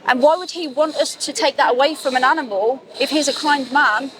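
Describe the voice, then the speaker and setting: A woman's voice: sits high, brisk. A woman interviewing passersby outdoors, talking in an earnest, persuasive way and asking probing questions. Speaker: street interviewer. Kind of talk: street outreach conversation. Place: outdoors in a busy public street. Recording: outdoor street recording with crowd noise.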